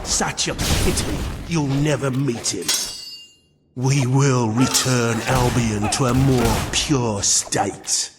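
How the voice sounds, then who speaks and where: A man's voice: medium pitch, slow pace, close to an everyday voice. A man voicing a vengeful, fanatical escaped prisoner turned assassin in a video game, speaking in a theatrical, declamatory way. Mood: cold, threatening. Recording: long hall reverb under loud music.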